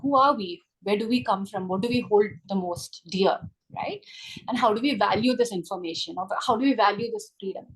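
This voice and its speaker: A woman reviewing a short story in a webinar, speaking over a video call in a chatty, animated way, often adding "right?" and "you know". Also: mid-range voice, a little fast, breathy edge, low-quality recording. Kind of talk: webinar book discussion.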